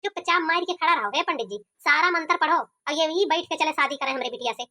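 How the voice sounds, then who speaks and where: Lady playing a bullying village headman, awadhi cartoon, high sharp voice barking threats and orders. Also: fairly quick. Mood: threatening. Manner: commanding, threatening. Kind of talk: cartoon comedy acting.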